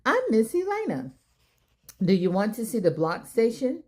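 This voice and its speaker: A woman voicing a friendly, cheerful female preschool teacher in a children's storybook, warm and inviting. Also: on the high side, huge pitch swings, normal speed. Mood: welcoming.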